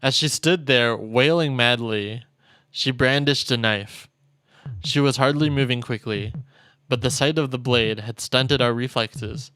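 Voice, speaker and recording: A man's voice: medium pitch, unhurried, sharp tone, clean tone, no echo. A man streaming a game, expressively reading visual novel narration aloud. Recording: dry close mic, faint game music.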